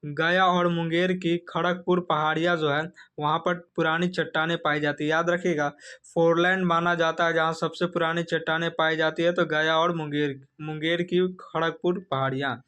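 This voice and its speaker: A man's voice: fairly high pitch, level tone, moderate pace, pushed and bright, clear, resonant tone. A man giving a quiz lesson as a coaching teacher, reading questions and answers in an emphatic, repetitive instructional way.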